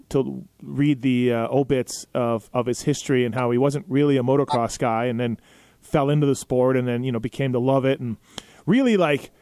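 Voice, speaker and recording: A man interviewing his guest, talking haltingly with repeated words and frequent short affirmations. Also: mid-range voice, a little mellow, no echo. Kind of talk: casual interview.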